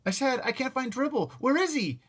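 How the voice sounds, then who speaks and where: A man voicing an upset young schoolboy who shouts and pleads, for an audiobook. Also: mid-range voice, wildly swooping pitch, fairly quick, breathy edge, pitched above the performer's own voice, full character voice. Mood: frantic.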